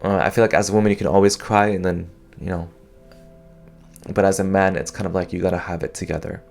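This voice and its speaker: A man's voice: sits low, level tone, fast, gravelly, soft background music. A young man from Canada speaking in a thoughtful, hesitant way, with many fillers and restarts, as he reflects on personal experience. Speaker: podcast host.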